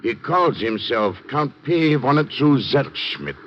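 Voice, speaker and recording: An actor playing an earnest Iranian intelligence major in a 1950s radio drama, formal, precise and courteous. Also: male voice, medium pitch, expressive, takes it slowly. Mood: businesslike. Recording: noisy muffled 1950s radio recording.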